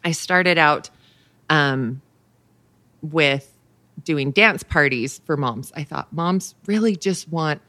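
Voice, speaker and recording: A woman in her thirties chats as a podcast guest, telling animated stories. Her voice is low for a woman. The recording is close and dry. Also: takes it slowly, pauses often, no echo. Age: 30s. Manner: animated storyteller, jumps into a high voice for quoted thoughts. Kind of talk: casual podcast chat.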